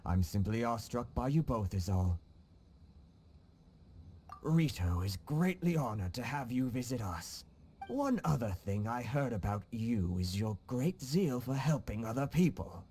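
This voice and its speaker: A man voicing a genial, wily middle-aged nobleman with a courtly, unhurried manner in a video game cutscene. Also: medium pitch, sing-song, warm tone, a little hiss or hum. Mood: flattering. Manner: courtly, unhurried, slyly affable.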